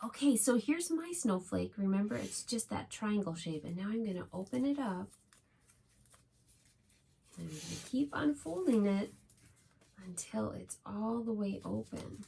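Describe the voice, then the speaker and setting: A woman with a husky, creaky voice leads a children's craft demonstration. She talks in short, simple steps and offers encouragement like a preschool teacher. Her pitch sits in an ordinary middle range for a woman. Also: expressive, a little slow, warm tone. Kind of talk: gentle step-by-step craft demonstration for young children.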